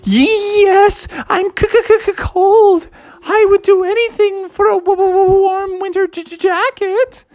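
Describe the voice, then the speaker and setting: A man voicing an excitable young frog in a made-up storybook tale, with a high, bouncy voice. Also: slow. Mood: shivering.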